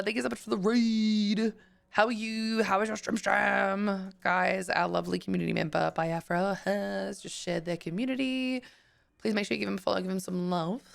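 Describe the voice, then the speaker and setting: A woman streaming live, her voice croaky, chatting casually with viewers and thanking them as she plays. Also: mid-range voice, a bit bright. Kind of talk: casual live-stream chat while gaming.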